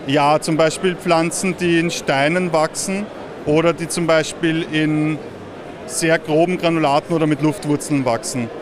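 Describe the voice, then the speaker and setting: A man explaining his product at a fair stand, talking fast and casually. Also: on the high side, fairly even intonation, noisy background. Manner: quick, casual, laughs often. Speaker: startup founder at a fair stand. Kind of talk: interview answers. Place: busy indoor fair hall.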